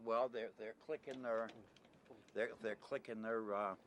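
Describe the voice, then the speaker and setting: A man being interviewed, chatting in a casual, halting, folksy way as he explains his hobby. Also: lowish voice, fairly even intonation. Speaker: interviewee, CB radio hobbyist who records chatter. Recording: noisy amateur field recording with clicks.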